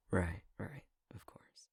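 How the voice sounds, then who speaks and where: A young man playing a clumsy, self-conscious fox-shifter boyfriend in an intimate ASMR roleplay, speaking hesitantly with a soft, breathy, gravelly voice. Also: no room sound, clean studio sound. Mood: self-deprecating, amused. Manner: hesitant, stammering, self-deprecating.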